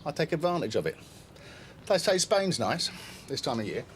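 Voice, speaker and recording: An actor playing a mocking, sardonic British police officer in a TV drama. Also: male voice, a little high, big pitch swings, moderate pace, slight background noise.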